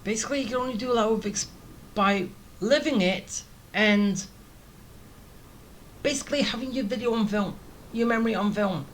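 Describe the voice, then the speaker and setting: A woman's voice: on the high side, moderate pace, pauses often, a little hiss or hum, poor audio. A woman playing a video game and commenting casually, thinking aloud in a chatty way. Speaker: video game let's player. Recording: faint game music behind. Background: faint game music.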